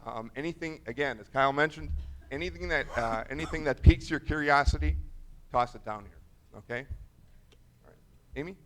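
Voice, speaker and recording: A man moderating a live college panel on stage, relaxed and loose, with many fillers. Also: on the high side, a little fast, pauses often, a little hiss or hum. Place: stage in front of an audience.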